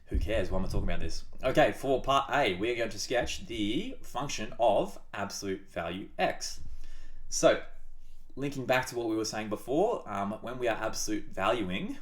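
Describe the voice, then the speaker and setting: A man with a blocked-up nose, talking through a maths tutorial in a chatty, upbeat way with playful asides. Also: on the low side. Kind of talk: casual whiteboard maths tutorial.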